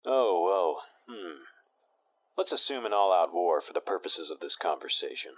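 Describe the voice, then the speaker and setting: A man playing an even-tempered radio talk show host with a measured, steady delivery in a fan audio drama. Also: low-pitched, lo-fi sound.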